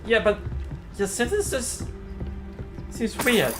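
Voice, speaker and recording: A man's voice: high voice, huge pitch swings, takes it slowly, a bit bright. A man doing casual let's play commentary, rambling as he thinks aloud. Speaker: gaming streamer. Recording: faint game music behind.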